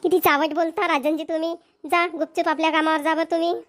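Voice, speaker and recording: Woman acting a high-voiced young village daughter-in-law. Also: little pitch movement, fast. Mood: playfully scolding. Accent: Varhadi Marathi. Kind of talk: amateur cartoon comedy voice acting.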